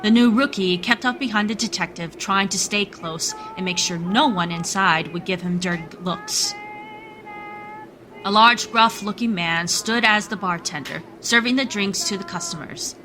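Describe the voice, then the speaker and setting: A woman's voice: mid-range voice, animated, normal speed, noisy background. A woman narrator reading scripted storytelling narration for a radio play.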